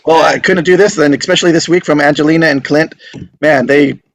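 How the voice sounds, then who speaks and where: A man's voice: a little high, steady tone, brisk. A man hosting an online table read, chatting in an informal, appreciative way. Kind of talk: casual group video-call chat.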